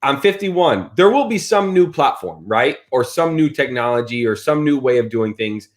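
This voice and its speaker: A man in his thirties in casual chat, telling stories with lots of fillers and lively swings in his voice. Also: medium pitch, moderate pace. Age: 30s. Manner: animated storyteller, many fillers.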